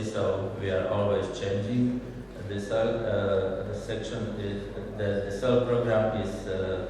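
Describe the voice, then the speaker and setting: A man from Slovakia gives a conference talk in a low voice, stopping often on filler sounds. He is recorded from afar in a large hall with a long, echoing reverb. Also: darkish tone, a little hiss or hum. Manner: hesitant, many filler sounds. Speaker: conference speaker. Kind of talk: conference presentation. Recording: echoey hall from far off.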